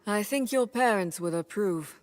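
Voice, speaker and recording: A woman's voice: medium pitch, lively intonation, normal speed, lightly acted. A woman playing a kindly, reassuring female ally in a video game. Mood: warm, reassuring. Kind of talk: video game cutscene acting.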